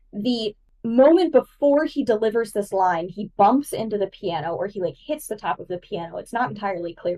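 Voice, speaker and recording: Excitable woman nerding out, slightly distant mic. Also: mid-range voice. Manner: excitable, nerdy, emphatic, casual swearing. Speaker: guest analyst on a discussion podcast. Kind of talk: enthusiastic literary analysis in casual chat.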